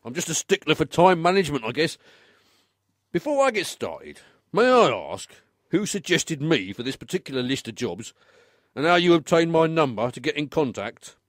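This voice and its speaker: A man's voice: on the high side, big pitch swings, normal speed, no echo, clean studio sound. A man playing a put-upon, obliging odd-job man in a radio play, talking in a wry, incredulous, conversational way.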